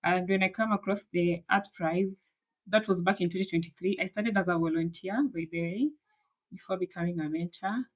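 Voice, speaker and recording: A woman's voice: lowish voice, fairly even intonation, fairly quick, low-quality recording. A woman from Kenya, a master's student answering interview questions in an earnest, passionate way.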